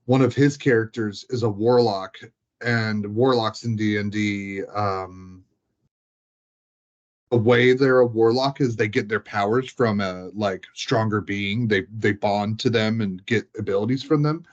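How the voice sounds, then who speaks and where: A man's voice: lowish voice, a little slow. Informal man chatting, slightly muffled recording. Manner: informal, frequent filler 'like', giggly. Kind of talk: casual chat about tabletop gaming.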